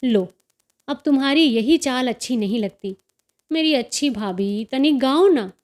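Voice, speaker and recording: A woman's voice: sits high, animated, unhurried, darkish tone. A woman voicing a cheerful, teasing young sister-in-law in a story, with melodious, coaxing banter. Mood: playful coaxing.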